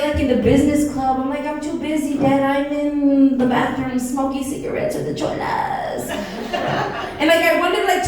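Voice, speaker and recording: A woman's voice: high voice, a little hiss or hum. Giggly Texas Chicana woman doing stand-up. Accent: South Texas Chicana. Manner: brash, giggly, swearing freely. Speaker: stand-up comedian. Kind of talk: stand-up comedy set. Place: comedy club stage. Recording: echoey club from far off, loud crowd.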